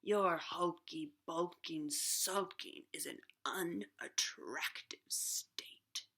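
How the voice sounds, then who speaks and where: A woman's voice: on the low side, drawn-out words, pushed and bright, whispered, voice pushed down, heavily acted. A woman voicing a blunt male octopus in a storybook, speaking frankly and scolding.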